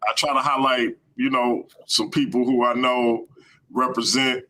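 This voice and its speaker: An American man with a mid-pitched, bright and forceful voice hosts a casual live stream. His speech is colloquial and emphatic, laying out arguments point by point. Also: flat delivery, measured pace, low-quality recording. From United States.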